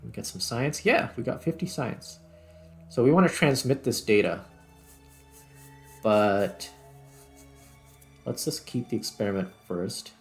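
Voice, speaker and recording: A man's voice: medium pitch, measured pace, pauses often, soft background music. A man doing casual gameplay commentary as a host, thinking aloud and muttering his way through tasks. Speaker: host, gaming video creator.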